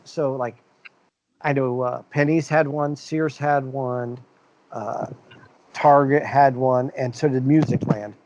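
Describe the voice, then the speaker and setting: A man's voice: medium pitch, darkish tone, rough recording. A middle-aged man from the American Midwest, co-hosting a casual podcast chat. He rambles, restarts his sentences and leans on fillers as he reminisces. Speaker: podcast co-host.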